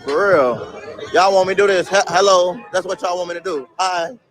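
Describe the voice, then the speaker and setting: Bright, high-voiced young man streaming in slang. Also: normal speed, faint music behind, some chatter behind. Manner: loose street slang, swearing, laughing at chat. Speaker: livestreamer. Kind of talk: casual livestream chat reading viewer comments.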